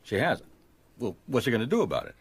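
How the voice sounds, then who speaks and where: A man's voice: medium pitch, big pitch swings, fast talker, natural voice. An actor playing a laid-back, shrewd male private detective with a dry, plain-spoken manner in a radio mystery. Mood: casual. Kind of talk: radio mystery drama acting.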